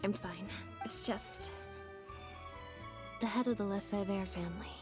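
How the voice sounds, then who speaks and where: An actress voicing a reserved, composed young woman in a video game, with an understated, measured, soft-spoken delivery. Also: mid-range voice, fairly even intonation, lightly acted. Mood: subdued, guarded. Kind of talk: scripted video game voice acting. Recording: game audio under clear music. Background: background music.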